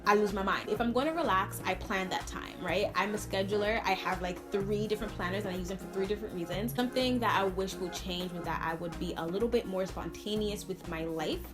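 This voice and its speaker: A woman's voice: mid-range voice, brisk, hardly any pauses. A woman from Brooklyn, chatting casually and expressively to camera as a video blogger, stressing points by repeating words. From Brooklyn, New York, United States. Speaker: video blogger answering viewer questions. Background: music.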